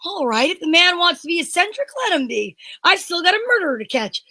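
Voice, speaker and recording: A woman playing a brusque male police inspector in an amateur radio drama, brisk, blunt and casual. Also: very high voice, sing-song, sharp tone, no echo, full character voice.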